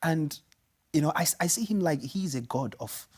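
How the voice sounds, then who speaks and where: Animated Nigerian man rambling about football. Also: fairly high pitch, normal speed, slightly rough. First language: Igbo. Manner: rambling, animated, many restarts and "you know". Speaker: show co-host, pundit. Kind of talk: casual studio chat about football.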